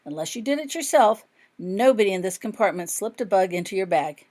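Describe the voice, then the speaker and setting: A woman's voice: medium pitch, expressive, a little fast, a little mellow, natural voice. Woman doing a young schoolgirl in an audiobook, earnest, firm, pretty sure of herself. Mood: confident. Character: young schoolgirl, thoughtful and confident. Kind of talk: audiobook character dialogue.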